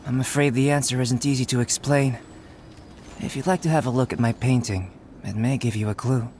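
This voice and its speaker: A man voicing a calm, curious young alchemist and painter in a video game, measured and scholarly. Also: medium pitch, a little hiss or hum, natural voice. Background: game music.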